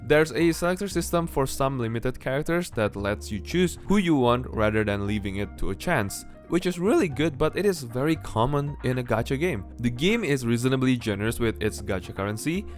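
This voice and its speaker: A man's voice: medium pitch, lively intonation, fairly quick, few pauses. A man narrating a video game review, mostly scripted, in a blunt, conversational manner. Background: background music.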